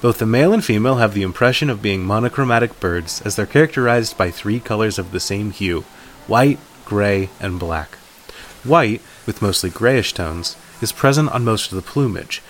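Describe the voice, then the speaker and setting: A man's voice: fairly low pitch, expressive, normal speed, slight background noise, low-quality recording. A man co-hosting a podcast in casual comedic chat with a wry, dry sense of humor. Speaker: podcast co-host.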